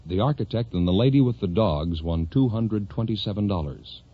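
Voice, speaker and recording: A man's voice: fairly low pitch, brisk. A man announcing formally as the sidekick on a radio quiz show. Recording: muffled, noisy old radio recording.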